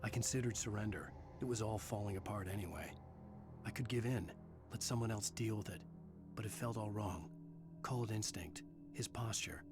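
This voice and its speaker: Low, grim male thriller hero played by an actor. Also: normal speed, close to an everyday voice. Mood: grim, tense. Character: troubled writer hero narrating his own dark thriller. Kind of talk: scripted first-person game narration. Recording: loud music behind.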